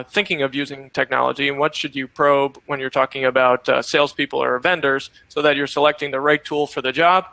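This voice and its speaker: Bright-voiced male panel moderator. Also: a little high, no echo, low-quality recording. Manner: upbeat, steering the talk with questions. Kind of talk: hosting a panel discussion.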